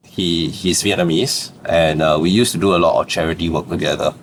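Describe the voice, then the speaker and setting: A man's voice: low-pitched, fairly even intonation, fairly quick, slight background noise. A young adult man from Singapore with a Singaporean accent, a startup founder chatting as a podcast guest, laughing often and dropping "lah" at the ends of phrases. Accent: Singaporean English. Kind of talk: casual interview storytelling.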